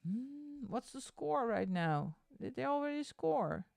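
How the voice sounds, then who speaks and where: A woman's voice: high voice, very animated, slow pace, vocal fry, darkish tone, no room sound. A woman streamer chatting casually while she colors, given to exasperated rants, speaking into a desk microphone. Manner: ranting, exasperated asides; sings along to music between chat.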